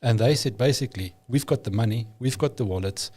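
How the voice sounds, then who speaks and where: Man, Afrikaans speaker, mellow tone, emphatic, opinionated, podcast guest. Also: medium pitch, a little fast. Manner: emphatic, opinionated, frequent restarts. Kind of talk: casual conversation.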